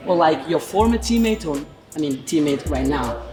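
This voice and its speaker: A man conducting an informal sports interview in halting, repetitive English. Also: on the high side, expressive. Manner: halting, repetitive phrasing in non-native English. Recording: music playing behind the voice. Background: background music.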